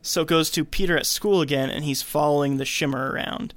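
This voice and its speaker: A man hosting a podcast, casually retelling a film's story in an animated storytelling way. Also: fairly high pitch, brisk, no echo. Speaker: podcast host recapping a film. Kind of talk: casual film recap narration.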